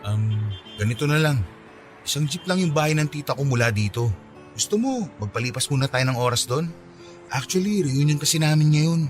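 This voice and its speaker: An actor playing an eager, caring, shyly smitten young office worker in a Filipino radio drama, with a Filipino accent. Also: male voice, fairly high pitch, lively intonation, a little fast, faint music behind, lightly acted. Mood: friendly, coaxing. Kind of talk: radio drama acting in Taglish.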